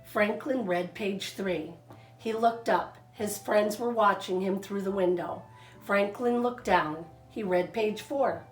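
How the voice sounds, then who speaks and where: A woman narrating a children's storybook like a teacher, expressive and deliberate, stretching out words. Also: mid-range voice. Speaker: kindergarten teacher reading stories to children. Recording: echoey room, faint music behind.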